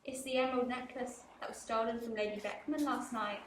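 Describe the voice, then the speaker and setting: Sensible wife, chiding, played by a young actress; distant echoey recording. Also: female voice, on the high side, fairly even intonation, a little fast, natural voice. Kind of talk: amateur radio play acting. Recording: roomy echo, distant mic, faint hiss.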